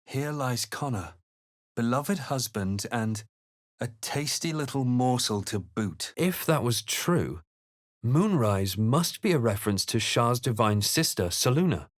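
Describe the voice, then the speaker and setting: An actor playing a brooding male fantasy adventurer, voicing video game lines in a hushed, deliberate, theatrical way. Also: medium pitch, expressive, no room sound, studio quality, natural voice. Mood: brooding, watchful. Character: brooding male fantasy adventurer narrating his own quest.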